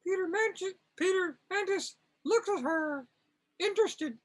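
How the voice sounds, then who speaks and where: Female narrator, very high pitch, unhurried, wry, casual ad-libbed scene description. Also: low-quality recording. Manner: wry, amused. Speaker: narrator of a comic reading.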